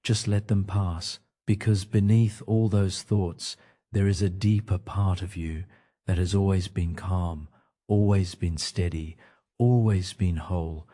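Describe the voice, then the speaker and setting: A man narrating a guided sleep meditation in a gentle, soothing manner, leaving pauses between short phrases. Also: low-pitched, steady tone.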